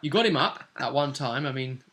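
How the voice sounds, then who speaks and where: A man's voice: mid-range voice, lively intonation, a little fast, fairly bright tone, a little hiss or hum. A man with a British accent talking casually as a game master, looking back over a role-playing session. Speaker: game master and vidcast host.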